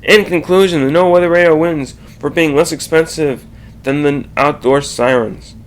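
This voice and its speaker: A man reading a scripted slide presentation aloud, haltingly, correcting himself and adding asides. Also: fairly high pitch.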